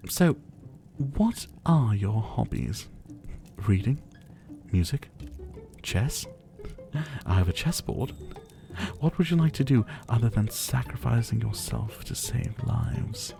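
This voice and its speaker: Give this one to a suave, possessive vampire, played by a man, in an intimate ASMR roleplay. The voice is gravelly and unhurried, with very animated pitch. He toys with his captive in a theatrical, teasing, softly menacing way. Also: over music, exaggerated voice. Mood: teasing, controlling. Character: older male vampire captor, former doctor, suave, possessive. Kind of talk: ASMR roleplay acting to the listener.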